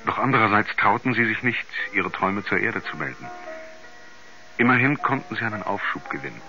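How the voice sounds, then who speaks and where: Sober male confidant-narrator, seventies radio drama, measured delivery, forceful edge, faint music. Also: on the low side, lively intonation, exaggerated voice. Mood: sober, grave. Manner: measured, articulate storytelling. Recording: narrow-band 1970s radio play recording.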